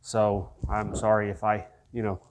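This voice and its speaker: A man's voice: fairly low pitch, little pitch movement, measured pace, a little mellow. Congested man talking in his garage. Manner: rambling, loose explanations with fillers. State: congested, nasal from sinuses. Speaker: DIY truck builder. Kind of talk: casual workshop vlog. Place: home garage.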